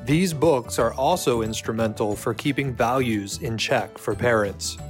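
Ad announcer: man, mid-pitched, smooth promo read, soft guitar behind. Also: a little slow. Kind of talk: scripted advertisement read. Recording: faint guitar music behind.

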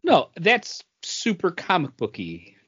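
A man's voice: on the high side, huge pitch swings, slow, bright, forceful tone, slightly rough, no echo, muffled recording. A man co-hosting a podcast in a casual, rambling chat about comic book movies. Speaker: podcast co-host.

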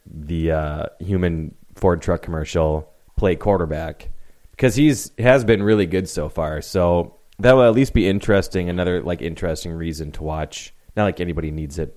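A man hosting a casual sports podcast, talking in a relaxed, hesitant way with lots of fillers. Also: sits low, normal speed. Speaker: podcast host.

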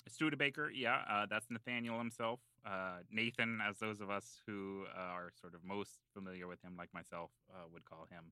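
A man plays an earnest local history buff on a comedy podcast. His low voice is very bright and crisp, and he rambles through hesitant, mock-scholarly explanations. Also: fairly even intonation, normal speed, hardly any pauses, no echo. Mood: earnest, rambling. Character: rambling, self-serious small-island local man explaining town lore. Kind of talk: improvised comedy podcast banter in character.

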